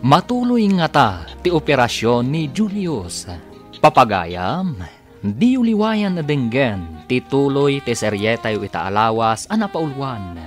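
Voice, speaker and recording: A man announcing a radio drama in a scripted, deliberate, theatrical style. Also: medium pitch, sing-song, faint music behind.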